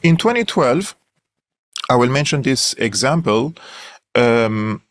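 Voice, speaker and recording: A man's voice: mid-range voice, big pitch swings, slow. A young man from Syria with a Syrian Armenian accent, a guest analyst telling his story earnestly in an interview, with many fillers and restarts.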